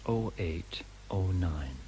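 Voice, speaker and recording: A military man reading an incident log in a radio play. He is formal and impassive, very measured in pace, with a fairly low voice. The recording is slightly noisy. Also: lively intonation, warm tone. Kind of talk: radio drama, official report read aloud.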